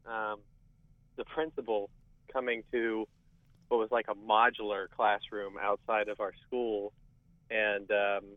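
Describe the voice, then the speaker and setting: A man's voice: lowish voice, dry recording. A young adult man calling in to a radio show, reflectively recalling his memories. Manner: reflective storytelling. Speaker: caller. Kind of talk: call-in radio talk. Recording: tinny phone line.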